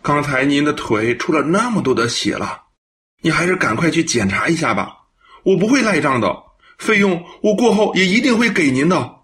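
一位年轻男演员在广播剧里饰演慌张诚恳、爱道歉的男大学生，说话结巴、带着恳求。. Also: male voice, on the high side, big pitch swings, moderate pace, fairly bright tone. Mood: worried, guilty. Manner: stammering, pleading. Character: flustered, earnest, apologetic male university student. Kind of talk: audio drama acting.

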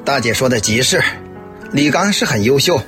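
A man's voice: medium pitch, animated, moderate pace, music in the background, natural voice. A man acting a friendly, eager policeman in a radio drama, talking in a hearty, earnest way. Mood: agreeable, earnest.

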